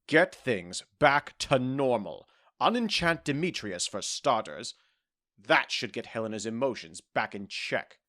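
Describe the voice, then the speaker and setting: A man's voice: mid-range voice, big pitch swings, a little slow, bright, forceful tone. A man playing a petulant, jealous fairy king in a modern comedy audio drama, exasperated and stammering when flustered. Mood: indignant.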